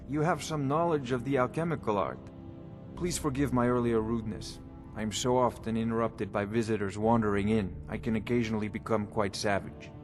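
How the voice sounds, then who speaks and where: An actor playing a haughty, bookish court wizard in a fantasy game, precise and condescending. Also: male voice, mid-range voice, in a large hall, close to an everyday voice.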